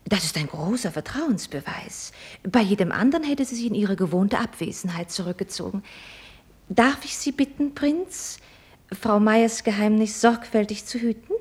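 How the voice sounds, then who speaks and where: A proud young woman of wealth in a radio play. Her voice is slightly husky and mid-pitched. She speaks with formal, mocking politeness and sharp, probing irony. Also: lively intonation, moderate pace. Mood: cool, teasing. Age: young adult. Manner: ironic, pointedly formal, probing. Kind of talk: German radio play acting.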